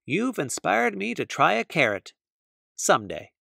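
A man voicing a small, fussy girl mouse pupil in a storybook, in a high, pinched voice. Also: sing-song, measured pace, creaky, sharp tone, slightly rough, no echo, studio quality, full character voice.

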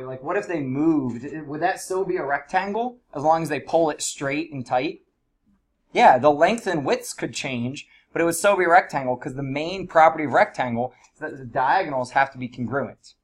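A man teaching high school math, his voice stuffy and congested from allergies. He sits a bit high in pitch and explains in a loose, chatty classroom manner, full of fillers. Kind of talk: classroom lesson.

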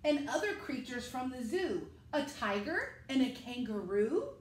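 Animated, high-voiced woman reading to children. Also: measured pace. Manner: animated, addresses children directly. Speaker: school teacher reading to pupils. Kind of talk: children's read-aloud, picture book storytelling. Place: living room at home, indoors. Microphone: camera a few metres away. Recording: echoey room with faint noise.

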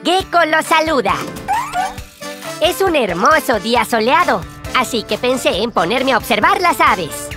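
A woman's voice: sits high, very animated, measured pace, music in the background. A woman playing a cheerful, helpful gecko mechanic in a children's cartoon, exclaiming and explaining things to young viewers. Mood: cheerful, reassuring. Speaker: cartoon lead and narrator. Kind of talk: animated children's show dialogue dubbed in Spanish.

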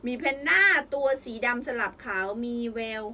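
A young woman with a high, very lively voice reads an animal book aloud and coaxes her child with sing-song questions. Her tone is bright and eager. She sits some distance from the mic, with faint noise behind. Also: moderate pace, creaky. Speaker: mother reading a picture book with her child. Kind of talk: reading aloud and quizzing a child.

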